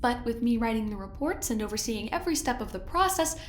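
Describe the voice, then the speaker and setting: An actress playing a smooth, patronizing woman who directs a research project on a spaceship in an audio drama, with mock-sweet control. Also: on the high side, animated, fast talker, slight background noise, lightly acted. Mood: condescending, controlling. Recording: roomy, echoey sound, music underneath. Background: music.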